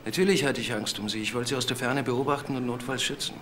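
A man's voice: medium pitch, fairly even intonation, quick pace, lightly acted. A man playing a defensive, earnest widower being questioned in a crime drama. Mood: defensive, strained. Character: defensive widowed husband under police questioning. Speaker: actor playing a questioned husband. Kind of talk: German TV crime drama dialogue. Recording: clearly noisy drama soundtrack.

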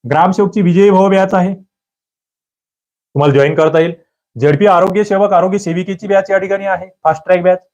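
A man teaching an exam prep lecture, quizzing listeners with lively rhetorical questions. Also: on the high side. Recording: dull lo-fi audio.

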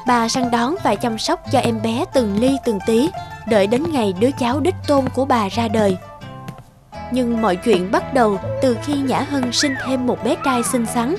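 A woman narrates a cartoon folk tale in a storybook style. Her voice sits fairly high, with music playing clearly behind it. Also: normal speed.

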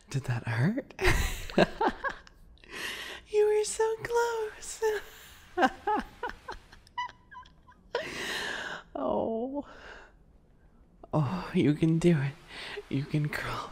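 Here is a sadistic bunny boy, played by a man, in an ASMR roleplay, toying with his prey. He speaks slowly in a soft, husky half-whisper. His manner is mockingly sweet and obsessive. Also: sits high, wildly swooping pitch, full character voice. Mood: gleeful, taunting. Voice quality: soft, breathy, often whispering. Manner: taunting, giggly, falsely sweet encouragement.

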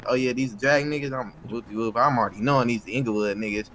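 Man, mid-pitched, casual street slang, profane, gamer roleplay, faint background noise. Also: fast talker, a bit bright. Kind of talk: in-character casual street storytelling in a crime roleplay game.

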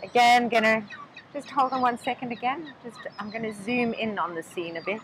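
A woman's voice: a little high, moderate pace. Chatty woman behind the camera outdoors. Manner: chatty, friendly, talks to the viewer from behind the camera. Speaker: co-host filming the painting. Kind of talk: casual chat. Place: outdoors on a beach. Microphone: camera mic, filming. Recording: outdoor noise, gulls. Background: seagulls and beach ambience.

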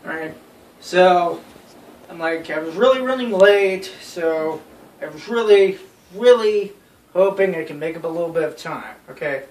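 A young man casually telling friends an anecdote in an animated way. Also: high voice, unhurried. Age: young adult. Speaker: storyteller. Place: small bedroom, indoors. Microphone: phone camera a few feet away. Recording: roomy, distant phone mic with noise.

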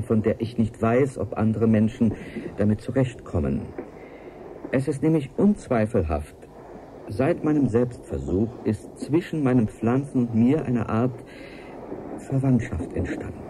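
An actor playing a solemn, visionary male scientist in a German radio play, confiding in a measured, earnest, formal way. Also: mid-range voice, close to an everyday voice. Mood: awed, tender. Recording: clear vehicle-like background noise.

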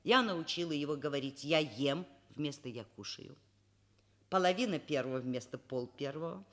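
An actress playing an educated middle-aged professor in a radio play, wry and expressive in narration and dialogue. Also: female voice, sits low, normal speed, close to an everyday voice. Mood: wry, reflective. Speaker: radio drama actor, narrator. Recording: radio play, violin music behind.

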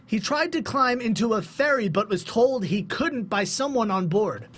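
A man's voice: high-pitched, lively intonation, normal speed, fairly bright tone, slightly rough. A young man reading scripted news narration as a television anchor. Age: young adult. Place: TV news studio.

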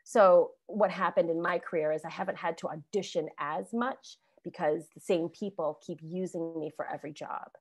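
A woman on a video call panel telling stories about her acting career in a chatty, animated way, laughing often and restarting sentences. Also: on the low side, moderate pace, hardly any pauses, breathy edge, dry recording. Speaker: alumni panelist, actor.

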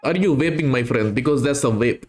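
A man's voice: mid-range voice, brisk, darkish tone, faint music behind. A young Indian man on a headset chatting with strangers, putting on a fake Russian accent in broken English. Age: young adult. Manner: mock Russian broken English, repeats "my friend". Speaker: streamer trolling strangers. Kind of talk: put-on Russian accent prank chat. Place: indoor room, video chat.

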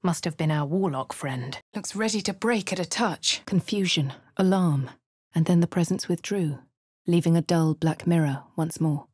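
Posh husky heroine. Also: female voice, lowish voice, moderate pace, darkish tone, dry recording, clean studio sound. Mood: wry. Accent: posh English. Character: posh female adventurer hero narrating her deeds. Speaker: player character voice. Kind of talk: game voice lines, first-person narration.

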